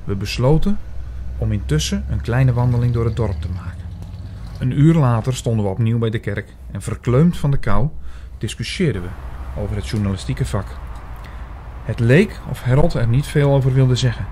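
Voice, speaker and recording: A man playing an earnest investigator who tells his own story in an audio drama, in a measured way. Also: medium pitch, animated, dark tone, slight background noise, close to an everyday voice. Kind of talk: scripted audio drama narration.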